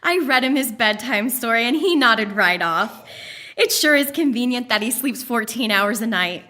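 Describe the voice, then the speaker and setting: A playful, teasing young woman, played by an actress, with a bright, high, very lively voice. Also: moderate pace.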